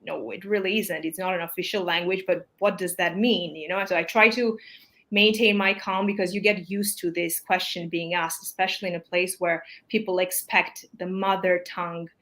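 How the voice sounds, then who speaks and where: A woman's voice: on the low side, fairly even intonation, moderate pace, a bit bright. A woman in her thirties with Armenian as her first language, hosting a casual live chat in a chatty, filler-heavy way. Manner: chatty, apologetic, with many fillers. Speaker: English teacher, host.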